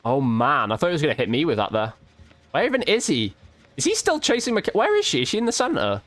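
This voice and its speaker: Male gamer, higher-pitched voice, very lively intonation, casual and exclamatory live commentary. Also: fast. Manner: reactive, exclamatory, slangy. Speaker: video game streamer, YouTube host.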